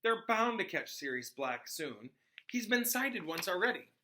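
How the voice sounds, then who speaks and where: An earnest, slightly bossy schoolgirl from a storybook, as a male reader puts her on. The voice is lifted and bright, coaxing and emphatic, with lively swings. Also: high voice, a little slow, a little hiss or hum, voice pushed up, heavily acted. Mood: reassuring.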